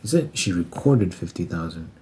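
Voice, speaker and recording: Deep-voiced guy in his 20s rambling to himself, hiss in the background. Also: lively intonation, normal speed, darkish tone. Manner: muses aloud, doing sums and talking to himself. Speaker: ASMR creator and musician. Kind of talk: casual rambling ASMR chat.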